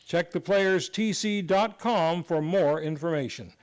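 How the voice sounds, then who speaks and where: A man's voice: on the high side, unhurried. A man announcing a scripted radio show sign-off in a formal, polished way. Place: live on a theater stage.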